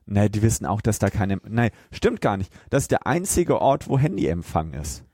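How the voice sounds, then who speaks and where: Male podcast co-host, conversational, lowish mellow voice with animated intonation, dry sound. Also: normal speed, no room sound. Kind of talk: casual chat reviewing a film.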